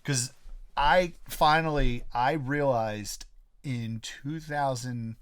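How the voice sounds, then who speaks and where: A man's voice: mid-range voice, slow, clean tone. A man co-hosting a casual podcast in a garage, talking in loose, rambling bursts full of restarts and swearing. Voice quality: says he has a nasally singing voice. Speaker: podcast co-host. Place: record garage.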